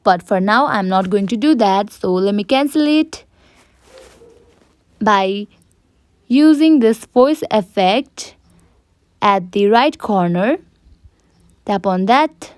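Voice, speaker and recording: A woman's voice: mid-range voice, expressive, slow pace, long pauses, no room sound. A woman narrating a step-by-step app tutorial, addressing viewers directly with simple instructions. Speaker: tutorial presenter.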